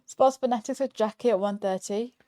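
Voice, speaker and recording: A woman co-hosts an informal live show. Her voice sits fairly high but has a very mellow, dark tone with no hard edge. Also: a little fast. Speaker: show co-host. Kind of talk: casual live show presenting.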